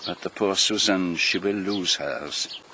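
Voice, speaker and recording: A shrewd, self-regarding foreign detective in a radio mystery, with a fairly low voice. He questions people formally and deliberately, with great courtesy. Some noise sits behind the voice. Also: bright, forceful tone. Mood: gallant, wry. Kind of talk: British radio mystery drama acting.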